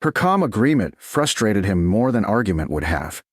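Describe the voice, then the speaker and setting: A man's voice: fairly low pitch, very animated, moderate pace, no echo, studio quality. A man reading dramatic scripted romance narration as an audiobook narrator.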